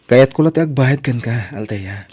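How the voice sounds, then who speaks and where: A man's voice: medium pitch, lively intonation, moderate pace, a little mellow, breathy edge. A man acting as a guilt-ridden, generous young man in an Ilocano radio drama, solicitous and insistent, with an Ilocano accent. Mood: guilty, concerned.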